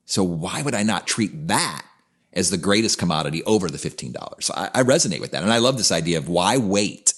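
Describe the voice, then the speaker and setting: A man's voice: sits low, animated, a little fast, breathy edge. A man in his fifties co-hosting a podcast, talking in an earnest, reflective way in casual conversation. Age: 50s. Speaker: podcast co-host, coach.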